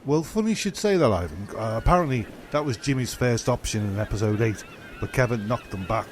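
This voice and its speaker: A man's voice: mid-range voice, expressive, brisk, a little hiss or hum, natural voice. A man playing an indignant, sardonic mate in an audio comedy, wordy and exasperated as he corrects others. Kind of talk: comedic audio drama dialogue.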